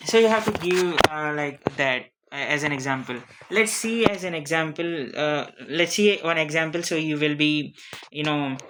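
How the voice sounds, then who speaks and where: A non-native English speaker teaching an informal lesson on introductions, speaking haltingly, repeating phrases and often checking in with a questioning tag. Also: mid-range voice, fairly bright tone, slight background noise, low-quality recording. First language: not English. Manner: halting, repetitive, frequently checking with "okay?". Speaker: online teacher of interview English. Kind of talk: informal spoken lesson with examples.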